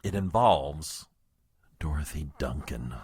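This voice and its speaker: A man's voice: a bass voice, animated, takes it slowly, a little mellow, husky, no room sound, studio quality, full character voice. An actor playing a self-important, married political aide in an audio comedy, nervously stammering and stiffly formal as he fends off trouble. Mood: anxious, secretive. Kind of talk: comedic audio drama acting.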